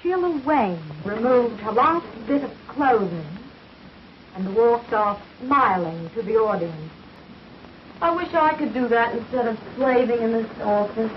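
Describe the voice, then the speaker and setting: A weary 1930s stripper, lo-fi. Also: female voice, fairly high pitch, lively intonation, unhurried, slight background noise. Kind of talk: 1930s film acting. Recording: dull lo-fi 1930s film soundtrack.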